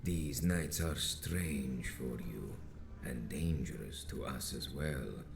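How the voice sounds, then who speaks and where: A very deep, gravelly vampire elder. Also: male voice, slight background noise, full character voice. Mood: stern, condescending. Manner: grandiose, menacing, deliberate. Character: ominous male vampire cult elder, solemn and preaching. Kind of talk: video game voice acting. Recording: echoing voice under game music.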